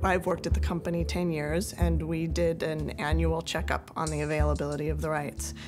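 A woman's voice: sits low, level tone, fairly quick, over music. A woman who works as a film producer, talking in a documentary interview.

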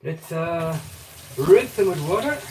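A man's voice: fairly high pitch, animated, measured pace, a little mellow, slight background noise, poor audio. A man with a slight Irish accent chatting to viewers while he cooks in a small home kitchen, full of fillers and calls of "guys". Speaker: cooking vlogger. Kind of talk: casual live cooking talk.

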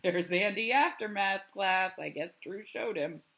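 A woman's voice: medium pitch, measured pace, fairly bright tone. A woman reading a storybook aloud expressively, adding explanations as she goes. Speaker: read-aloud narrator. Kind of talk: storybook read-aloud with commentary.

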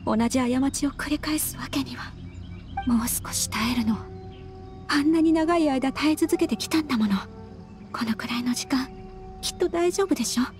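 A woman voicing a mysterious ghostly woman in a game, sorrowful and restrained, solemn and breathy, speaking in ominous murmurs. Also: high-pitched, moderate pace, a little mellow, faint music behind, natural voice. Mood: anguished self-restraint. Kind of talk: Japanese game cutscene voice acting.

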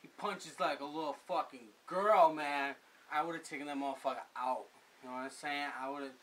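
Young guy doing a loudmouth drunk tough guy, high-ish voice, picked up on a camera mic. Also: normal speed, natural voice. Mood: boastful. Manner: bragging, belligerent, profane. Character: hot-headed drunk young male blowhard Picanto. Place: cluttered garage at night.

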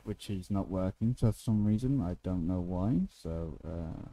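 A man giving a casual, unscripted software tutorial in an informal, offhand way as the presenter. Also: sits low, a little slow, heavy background noise.

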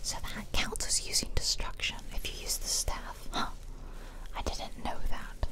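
A woman giving whispered ASMR game commentary with playful, casual asides, recorded close to the microphone. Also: a little slow. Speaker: gaming streamer. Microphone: close ASMR microphone, ear to ear.

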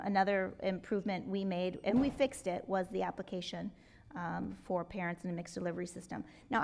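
Earnest woman with a creaky voice. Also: on the low side. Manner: earnest, expressive with hand gestures, many restarts. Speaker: superintendent candidate. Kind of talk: public job interview answers. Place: hearing room with rows of empty seats. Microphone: table microphone.

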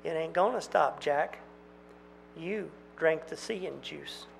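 A man voicing twin country girls, speaking quietly and accusingly. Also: on the high side, takes it slowly, creaky, a little hiss or hum, full character voice, rough recording. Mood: reproachful. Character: eerie country girls, twin sisters, calm and reproachful.

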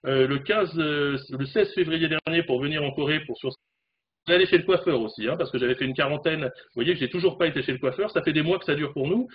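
A man in his forties speaks fast and animatedly as a correspondent over a video call. His voice sits a little high. The webcam sound is dull and carries a faint hiss. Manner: fast, animated, talks with hands. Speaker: correspondent in South Korea. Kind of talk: remote news discussion. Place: home room, indoors.

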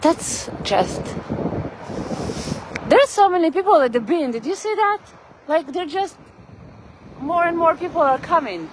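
A woman's voice: very high-pitched, sing-song, unhurried, long pauses. A woman chatting casually to her viewers while walking outdoors, rambling and greeting people by name. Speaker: vlogger. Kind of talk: casual live-stream walking chat. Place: outdoors on a city street. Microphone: phone camera held while walking. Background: traffic and street ambience.

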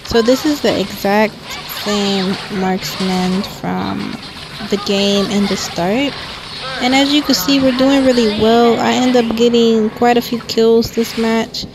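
A young woman giving a casual voice-over on her gameplay, talking quietly and softly into a close microphone with lots of "like" fillers. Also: a little high, slow pace. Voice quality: quiet, soft-spoken. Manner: chatty, frequent "like" fillers. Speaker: gameplay commentator. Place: lying down at home. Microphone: microphone held close to mouth. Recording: steady background noise.

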